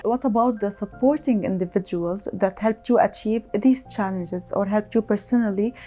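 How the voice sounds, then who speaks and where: A woman's voice: medium pitch, normal speed, mellow, faint music behind. A woman hosting an interview show, asking scripted questions in a formal, polite manner. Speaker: host.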